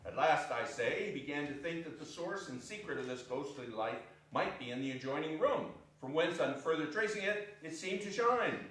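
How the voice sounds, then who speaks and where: A man's voice: a little high, expressive, normal speed, few pauses, a bit bright. A man reading narration aloud as part of a theatrical dramatic reading. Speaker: narrator and reader. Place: performance hall, live reading. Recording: echoey hall, distant microphone, noisy background.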